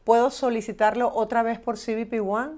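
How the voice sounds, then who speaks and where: A woman's voice: on the high side, fairly even intonation, moderate pace, a little mellow. A woman hosting a broadcast interview, reading questions aloud and moving the conversation along. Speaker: host reading viewer questions.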